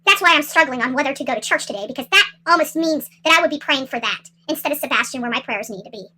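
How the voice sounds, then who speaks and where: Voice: high-pitched, lively intonation, fast, bright, forceful tone, a little hiss or hum. A livestream host on an impassioned rant, upset and confrontational, speaking emphatically with salty language and repeated phrases. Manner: salty, swearing. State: upset, agitated. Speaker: livestream host commenting on a missing-person case.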